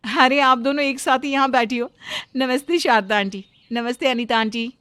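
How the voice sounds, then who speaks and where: A woman's voice: high voice, moderate pace, bright, forceful tone, no room sound, pitched above the performer's own voice. A woman voicing a polite, earnest young daughter-in-law in a cartoon story. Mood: friendly. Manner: respectful, earnest.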